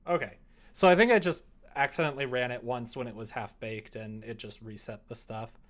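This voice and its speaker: A man's voice: medium pitch, fairly quick, a bit bright. Casual young man thinking aloud on stream. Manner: self-deprecating, informal. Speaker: livestreamer coding a game. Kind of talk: casual livestream chat, thinking aloud while working. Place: indoors, small room at a computer.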